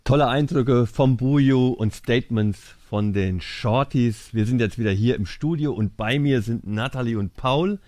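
A man's voice: mid-range voice, moderate pace, few pauses, darkish tone, dry recording. A man hosting a live studio interview, asking questions and murmuring agreement while guests speak. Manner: frequent murmured backchannel. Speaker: livestream host.

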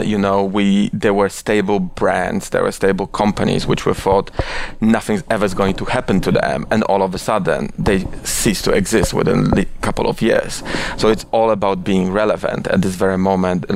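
A man's voice: sits low, vocal fry, a little hiss or hum. A man from Poland talking passionately in an interview, gesticulating as he speaks and restarting his sentences often. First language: Polish. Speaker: guest. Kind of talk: conversational interview.

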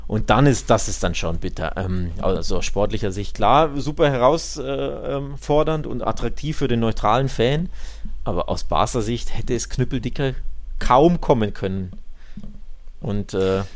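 A man's voice: mid-range voice, moderate pace. A man co-hosting a football podcast, discussing casually with emphatic, colloquial opinions. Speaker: podcast co-host.

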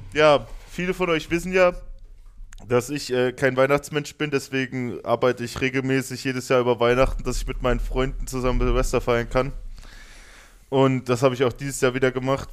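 A man's voice: medium pitch, clean tone. A man, co-hosting a podcast in joking, slangy casual chat. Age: 20s. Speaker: podcast co-host.

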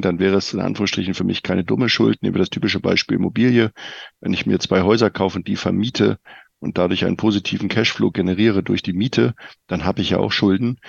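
Middle aged guy coaching on money, low voice, direct and informal, dry slightly dull recording. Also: vocal fry, slightly rough, no room sound. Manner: direct, informal, addresses listener as you. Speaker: guest financial coach. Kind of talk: explanatory interview advice with everyday examples.

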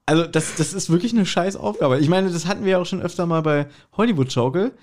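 Male podcast host, fairly high voice, joking and rambling in relaxed conversation. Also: fast. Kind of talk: casual chat.

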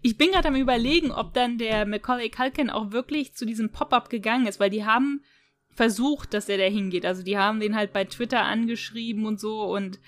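A woman's voice: a little high, fairly quick. Casually chatting woman podcaster. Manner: chatty, informal, loosely strung storytelling. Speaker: podcast co-host. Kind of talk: casual chat.

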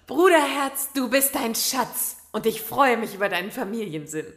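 A woman's voice: a little high, lively intonation, normal speed, fairly bright tone. An actress playing a haughty, envious and perpetually broke queen in a fairy-tale radio play, with affected regal phrasing. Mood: pleased.

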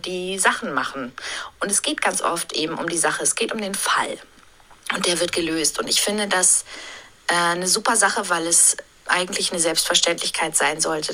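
Woman in her 30s, voice actress, fairly low and forceful timbre, thoughtful interview delivery with hesitations. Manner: thoughtful, musing, with frequent hesitations. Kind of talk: recorded interview statement.

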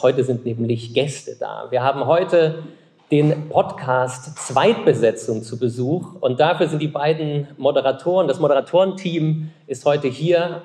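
A man at a theater welcoming the audience to an evening event, formal and quick. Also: a little high, dark tone. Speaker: theater staff presenter. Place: theater restaurant, indoors.

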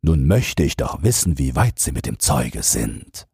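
Storybook emperor, read by a male narrator: vain, musing, very deep and husky, unhurried. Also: very animated, darkish tone, dry recording, clean studio sound, exaggerated voice. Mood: musing, self-important. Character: vain, pondering emperor, self-important fairy-tale ruler.

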